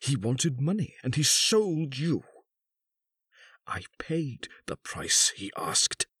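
A man voicing a bitter, high-strung old knight in his sixties in a dramatic audiobook reading. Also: sing-song, takes it slowly, a bit bright, slightly rough, dry recording, clean studio sound, full character voice. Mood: bitter, accusing. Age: 60s. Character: furious, vindictive old English knight and wronged husband.

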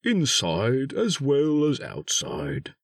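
Man voicing a slow, gruff, friendly bear. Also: on the low side, huge pitch swings, no echo, clean studio sound, distant mic, exaggerated voice. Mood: proud. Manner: hesitant, ponderous.

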